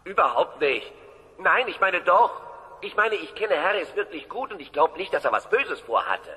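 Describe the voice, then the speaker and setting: Bright, flustered dinosaur worker, echoing hall. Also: male voice, sits high, very animated, slight background noise, exaggerated voice. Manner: flustered, halting. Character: nervous, hesitant male dinosaur construction worker. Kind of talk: comedic audio play acting.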